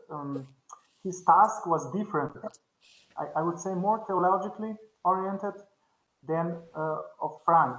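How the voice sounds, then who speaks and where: A man whose first language is Slovenian, giving a halting academic comment at a conference, with many restarts. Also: on the high side, fairly bright tone, echoey room, poor audio. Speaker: conference panelist. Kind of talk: academic discussion. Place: conference session.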